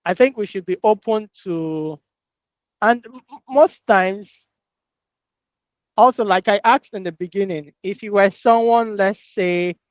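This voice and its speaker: Casual discussion voice: thoughtful, halting, mid-pitched, on a dry and muffled lo-fi recording. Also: no echo. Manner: hesitant, reflective, with repeated words.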